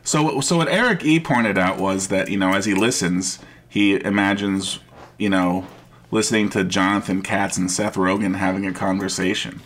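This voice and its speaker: A man co-hosting a casual podcast chat, with a gruff voice and a stammering, hesitant way of talking. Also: low-pitched, moderate pace, fairly bright tone, a little hiss or hum. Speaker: podcast co-host.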